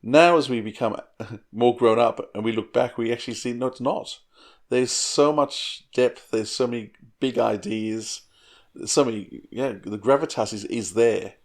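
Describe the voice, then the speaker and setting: A man's voice: medium pitch, unhurried. A man in his forties co-hosting a podcast, speaking in a thoughtful, earnest way and sometimes laughing as he talks. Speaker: podcast co-host. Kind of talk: casual podcast discussion.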